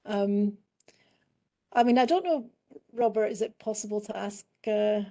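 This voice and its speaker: A middle-aged woman with a British accent, warm and mellow in tone, answers as a panel guest. She talks in a thoughtful, halting way, restarting phrases as she works out her point. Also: mid-range voice. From United Kingdom. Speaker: guest expert in public health.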